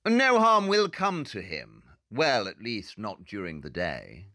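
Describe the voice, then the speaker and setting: A man's voice: lowish voice, big pitch swings, slow pace, bright, forceful tone, dry recording, clean studio sound, exaggerated voice. An actor playing a brilliant, self-assured male detective in an audio drama, explaining things in a clipped, superior, matter-of-fact way. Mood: brisk, confident.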